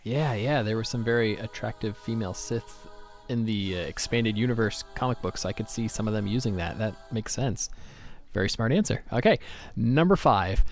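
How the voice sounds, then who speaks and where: A man's voice: on the low side, normal speed, soft background music. A man hosting a light-hearted quiz interview in a jokey, conversational way. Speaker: podcast host.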